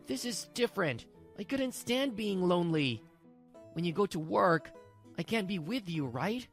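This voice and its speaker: A woman's voice: on the low side, lively intonation, unhurried, soft background music. A woman voicing a self-pitying, evasive young husband in a dubbed manga drama, stammering and pleading his excuses. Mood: defensive pleading.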